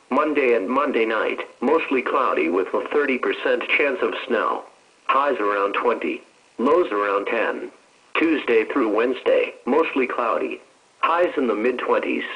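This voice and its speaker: A man's voice: mid-range voice, expressive, unhurried. Synthetic male weather radio voice, dull sound. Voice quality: synthetic text-to-speech voice. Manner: formal, mechanical, stilted phrasing. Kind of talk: scripted weather forecast reading. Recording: dull band-limited weather radio broadcast.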